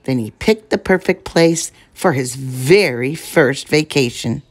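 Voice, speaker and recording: A woman reading a children's picture book aloud, giving the rhymes a measured, expressive delivery. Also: very deep voice, husky. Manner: measured, expressive rhyming narration. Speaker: storyteller.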